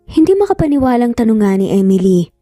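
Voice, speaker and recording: A woman's voice: a little high, big pitch swings, quick pace, mellow, no echo, clean studio sound. A woman narrating a scripted romance story in a dramatic storytelling style. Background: music.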